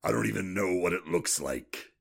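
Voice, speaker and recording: A man playing a gruff, blunt retired thief in an audio drama. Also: low voice, lively intonation, normal speed, full character voice. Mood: defensive.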